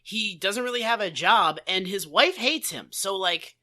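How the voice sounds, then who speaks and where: A man's voice: high-pitched, animated, measured pace, bright, forceful tone. A man co-hosting a podcast with casual comedic commentary, riffing sarcastically and swearing freely. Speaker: podcast co-host.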